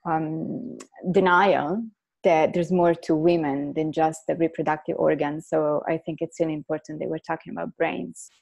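A woman's voice: sits low, moderate pace, gravelly. A woman in her forties from Italy, with an Italian accent, speaking earnestly as a guest on a video call from her living room through earbuds. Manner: earnest, animated hand gestures. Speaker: guest neuroscientist. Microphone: earbud headset on video call.

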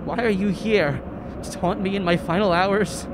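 A woman playing a desperate, obsessive dying writer in an audio drama, halting and fraught as she freezes. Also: mid-range voice, lightly acted. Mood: pleading, angry. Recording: clearly noisy background.

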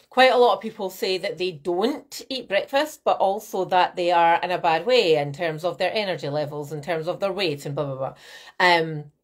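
Chatty woman coaching live. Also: lowish voice. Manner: chatty, animated, gestures a lot, greets viewers by name. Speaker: fitness and nutrition coach. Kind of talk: live-stream masterclass to viewers. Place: small room at home, indoors. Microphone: laptop webcam mic.

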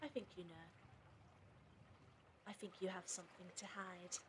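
A woman's voice: fairly low pitch, steady tone, moderate pace, a bit bright, a little hiss or hum, natural voice. An actress playing a determined woman among captives in an audio drama, earnest and confrontational. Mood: accusing. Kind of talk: fan audio drama acting.

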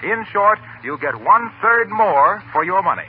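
An American man delivering a polished, scripted sales pitch as a 1940s radio announcer. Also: sing-song, moderate pace. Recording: tinny 1940s radio broadcast, faint noise.

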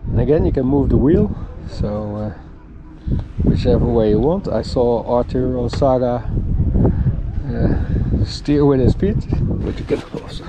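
Man giving a tour over clear background noise. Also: mid-range voice. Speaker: boat owner giving a walkaround tour. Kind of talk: informal explanatory tour commentary. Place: aboard a sailing catamaran in a marina, in bow compartments and on deck.

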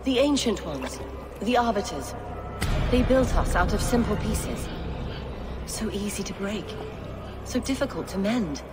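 An actress playing a dying, fanatical nun healer in a video game, wistful, obsessed and dramatic. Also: female voice, medium pitch, animated, a little slow, long pauses, over loud music, natural voice. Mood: fervent regret.

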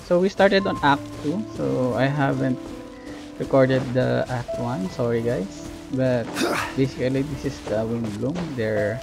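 Male game streamer, unhurried and hesitant, casual commentary over clear game music. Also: mid-range voice. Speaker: gaming streamer. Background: game music and sounds.

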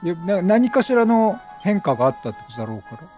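A man giving casual, chatty game commentary, excitable, repeating phrases and reacting aloud. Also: sits high, moderate pace. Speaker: game streamer. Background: game music.

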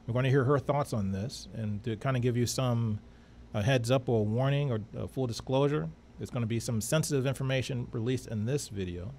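A man's voice: medium pitch, fairly quick, darkish tone. Man co-hosting a radio show, faint noise. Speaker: co-host. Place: small studio, indoors. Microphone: close studio microphone on arm.

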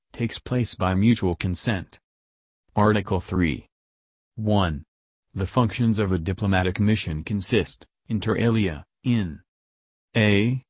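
A man reads a legal text aloud at an even, measured pace, clause by clause. His voice sits on the lower side. The recording is dry and close, with no room echo. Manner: steady, measured, enunciating each clause.

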